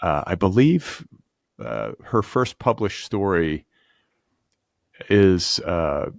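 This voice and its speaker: Deep-voiced male podcast interviewer. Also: sing-song, takes it slowly, creaky, no echo, clean studio sound. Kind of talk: conversational literary interviewing.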